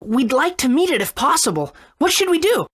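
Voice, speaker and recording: An actor playing an eager, earnest young boy adventurer in a video game, with an excitable manner. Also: sits high, brisk. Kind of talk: video game character acting.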